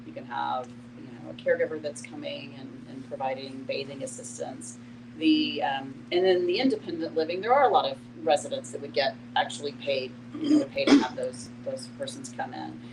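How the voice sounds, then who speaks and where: A woman facilitating a group discussion, explaining things informally in halting, piece-by-piece phrases. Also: low voice, expressive. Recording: clear background noise and hum.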